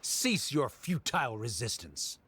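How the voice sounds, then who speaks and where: A man's voice: mid-range voice, sing-song, measured pace, pushed and bright, husky, exaggerated voice. A man playing a haughty, stern academic official in a video game, speaking in a formal, pompous way. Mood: defensive, insistent.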